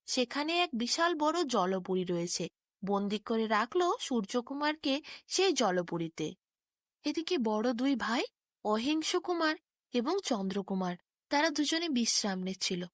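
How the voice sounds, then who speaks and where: Female fairy-tale narrator: higher-pitched voice, very lively melody, scripted bedtime storytelling. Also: unhurried, dry recording, studio quality.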